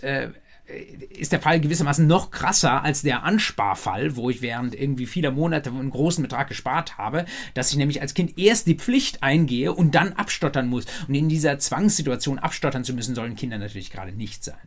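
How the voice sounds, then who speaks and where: A man giving a university law lecture, explaining freely in a colloquial way and addressing his students directly. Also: medium pitch.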